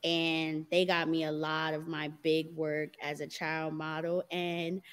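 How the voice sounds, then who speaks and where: A young woman talks loosely and candidly as a guest, her voice sitting a little low, breaking into easy laughter and sprinkling "y'all" and "like" through her answers. The tone is casual and conversational. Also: measured pace, smooth. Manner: relaxed and candid, laughs often, says "y'all" and "like". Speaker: guest, dancer and model. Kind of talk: casual interview chat.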